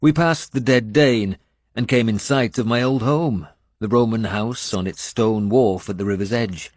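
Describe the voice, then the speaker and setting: A man reading a scripted first-person audiobook in a measured storytelling manner. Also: fairly low pitch, a little mellow, no echo, clean studio sound. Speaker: audiobook narrator.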